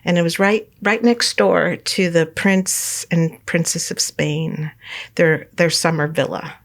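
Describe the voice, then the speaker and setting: A middle-aged woman from California, a guest telling her life story in a relaxed interview, rambling with many fillers and restarts and laughing often. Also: on the low side, slow pace. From United States, California.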